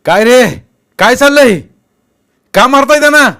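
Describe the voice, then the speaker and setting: A man voicing a stern grown villager in a story, loudly and insistently challenging with pointed questions. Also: high-pitched, lively intonation, unhurried, clear, resonant tone, pitched above the performer's own voice. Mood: alarmed, scolding.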